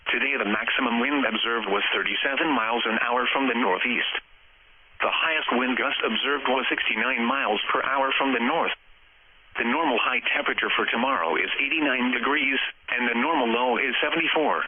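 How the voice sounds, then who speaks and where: A man's voice: medium pitch, fairly quick. A synthetic American man's voice reading a scripted weather bulletin formally and impersonally as a radio announcer, over a radio transmission. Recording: muffled, phone-like weather radio broadcast. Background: radio feed.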